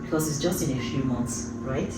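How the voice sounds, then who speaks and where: Very low-voiced woman in her fifties, echoey. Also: moderate pace. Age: early 50s. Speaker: YouTube host. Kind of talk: personal vlog talk to camera. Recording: echoey room, distant mic, loud music.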